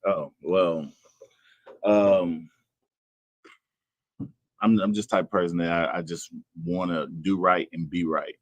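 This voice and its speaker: Very deep-voiced man, earnest. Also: fairly even intonation, takes it slowly, long pauses, dry recording, dull audio. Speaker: personal trainer, guest. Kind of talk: earnest conversational interview.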